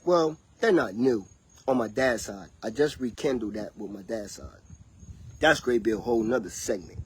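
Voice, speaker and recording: A man's voice: medium pitch, husky. Rambling man vlogging outdoors. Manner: rambling, preachy, loose and conversational. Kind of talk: casual livestream monologue.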